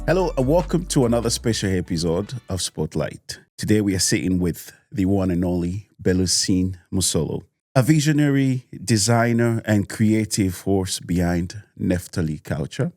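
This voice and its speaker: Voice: low voice, animated, normal speed, hardly any pauses, a little mellow, no room sound, clean studio sound. A podcast host interviewing a guest, enthusiastic and full of encouraging hums. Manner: frequent back-channel hums, enthusiastic. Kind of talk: interview hosting.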